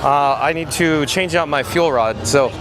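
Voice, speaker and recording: A man hosting a casual live walking stream, chatty and a bit hoarse and raspy, reading the chat while juggling camera gear outdoors. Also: fairly high pitch, unhurried, crowd noise. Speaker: livestream host. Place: outdoor theme park and ride queue. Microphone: camera rig mic.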